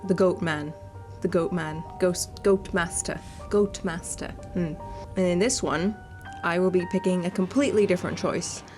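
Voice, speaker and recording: A woman's voice: lowish voice, a little mellow. A woman doing casual, playful gaming commentary and reading game text aloud, chatty and informal. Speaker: gaming video host. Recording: music playing behind the voice. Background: background music.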